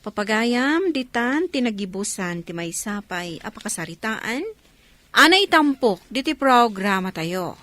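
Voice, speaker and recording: A woman with an Ilocano Filipino accent giving a scripted radio drama announcement in deliberate phrases. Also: medium pitch, sing-song, a bit bright, no echo.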